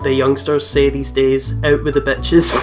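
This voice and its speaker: A young woman co-hosting a let's play with excitable, sweary, giggly casual reactions. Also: very deep voice, a little slow. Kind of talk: casual, reactive game commentary. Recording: loud music behind the voice.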